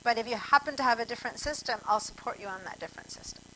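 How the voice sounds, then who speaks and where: A woman's voice: on the high side, racing, fairly bright tone, a little hiss or hum, poor audio. A woman from Argentina teaching a computer class over a video call, chatty and digressive, speaking straight to her students. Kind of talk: online class instruction.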